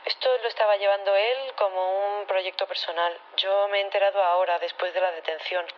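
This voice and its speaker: A woman's voice: mid-range voice, steady tone, a little fast, dull audio, close to an everyday voice. An actress playing a loyal, earnest woman witness in an audio drama, insistent and repeating her words as she defends her partner. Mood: earnest, defensive.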